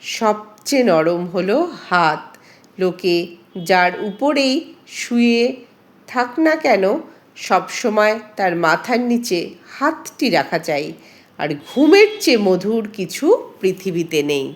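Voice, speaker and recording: Brief: woman plays wise seven-year-old village girl, calm and reassuring, slow, lilting storybook delivery. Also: mid-range voice. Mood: calm, confident. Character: clever, calm seven-year-old peasant girl comforting her father.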